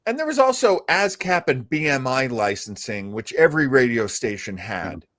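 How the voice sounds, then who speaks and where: A man's voice: mid-range voice, very animated, moderate pace, a bit bright, no room sound, studio quality. A middle-aged man hosting a live show in casual, chatty conversation. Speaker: host of a live panel show. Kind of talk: casual chat.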